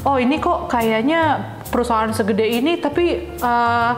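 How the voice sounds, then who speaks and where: A woman's voice: on the high side, unhurried. A woman, hosting a casual interview in a chatty, informal, giggly way. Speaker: host interviewing a guest. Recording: roomy echo with faint music underneath.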